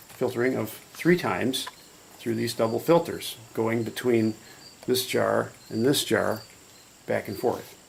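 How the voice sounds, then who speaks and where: A man's voice: medium pitch, gravelly, slight background noise. A man explaining a hands-on process step by step in an informal, matter-of-fact demonstration style. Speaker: hobbyist demonstrator.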